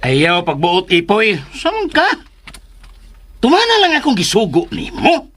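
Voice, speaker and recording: An actor playing a bossy, vain, quick-tempered household boss in a comedic radio drama, with an exclamatory, exaggerated delivery. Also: mid-range voice, wildly swooping pitch, fairly bright tone, heavily acted. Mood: irritated, bossy.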